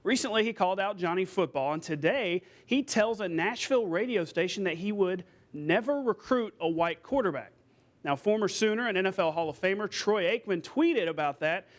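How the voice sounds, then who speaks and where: A man's voice: high-pitched, animated, normal speed. An American man anchoring a local TV sportscast, reading scripted news with playful, conversational asides.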